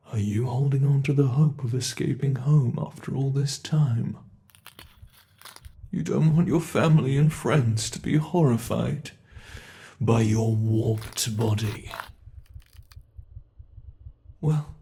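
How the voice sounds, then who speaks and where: Casting: cruel monster, played by a man, unhurried, mocking delivery, medium voice, for an ASMR horror roleplay. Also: mid-range voice, creaky, dark tone. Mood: gloating. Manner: slow, taunting, relishing cruelty. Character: sadistic male monster that reshapes its captive.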